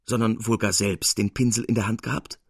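A man's voice: lowish voice, little pitch movement, fairly quick, slightly rough, dry recording, studio quality. A man voicing a skeptical, weary older master painter in an audiobook, with emphatic, formal, old-fashioned speech. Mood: frank, surprised. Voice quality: weak, plaintive when ailing.

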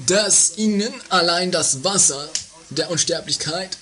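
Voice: medium pitch, unhurried, bright, forceful tone. A performer playing a hungry, fussy, melodramatic housemate in a comedy radio play, a little hesitant. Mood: solemn, halting. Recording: 1980s homemade radio play, faint music.

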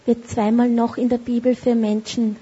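A woman interpreting a sermon phrase by phrase, steady and with small self-corrections. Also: on the high side, moderate pace, slightly rough. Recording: dull lo-fi recording.

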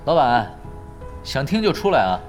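An actor playing a laid-back, wry esports veteran with a casual, understated manner in a Chinese audio drama. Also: male voice, mid-range voice, sing-song, moderate pace, natural voice. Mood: cool, guarded. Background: music.

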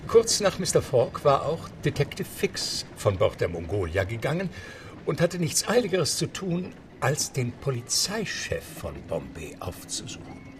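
A man's voice: mid-range voice, wildly swooping pitch, unhurried, a little hiss or hum. A man narrating a radio play as a scripted storyteller. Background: music.

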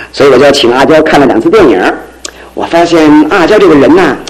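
A man acting in a Chinese radio drama as an excitable, lovestruck, boastful office clerk, with an animated, exclamatory delivery. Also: on the high side, brisk, close to an everyday voice, low-quality recording. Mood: bashful, chatty.

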